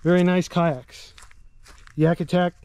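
A man's voice: high-pitched, flat delivery, slow pace, darkish tone, clean tone. A man giving a plain, matter-of-fact walkaround outdoors as a kayak rental guide, talking into the camera he holds. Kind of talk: casual walkaround video. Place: outdoors on a rural property. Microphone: camera held by the speaker.

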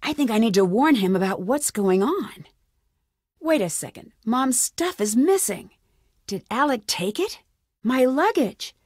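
A woman playing a plucky, quick-witted young female detective in a video game, thinking aloud in clearly spoken one-liners. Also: on the high side, big pitch swings, a little mellow, dry recording, studio quality. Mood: focused. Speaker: game protagonist.

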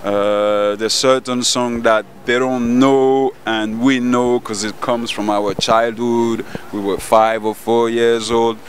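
A man in his thirties from Ivory Coast with a French-speaking West African accent, answering interview questions casually on a rooftop, searching for words with many pauses and repeats. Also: medium pitch, little pitch movement. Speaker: DJ and event organizer. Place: outdoor rooftop terrace above a busy street. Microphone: handheld interview mic held by the interviewer. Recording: faint traffic noise outdoors.

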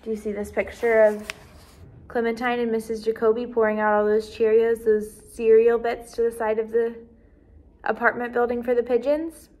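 A woman in her twenties reading a children's book aloud as a teacher, with expressive, clearly paced narration. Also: on the high side, moderate pace. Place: small decorated indoor room.

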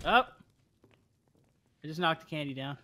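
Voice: medium pitch, moderate pace, pushed and bright. A co-player chatting casually in a multiplayer game, reacting to the action over voice chat. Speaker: co-player in a gaming video.

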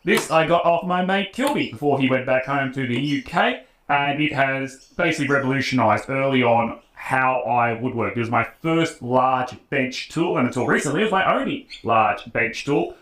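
A man's voice: mid-range voice, normal speed, few pauses, a bit bright. Australian man talking in an echoey garage. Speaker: YouTube woodworking creator. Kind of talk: casual talk to camera. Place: home garage workshop, indoors. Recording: roomy garage echo.

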